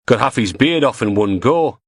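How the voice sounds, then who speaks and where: A man's voice: mid-range voice, lively intonation, normal speed, no echo, clean studio sound, pitched above the performer's own voice. A man voicing a thuggish male henchman with a Geordie accent, cheery and crude. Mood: jeering.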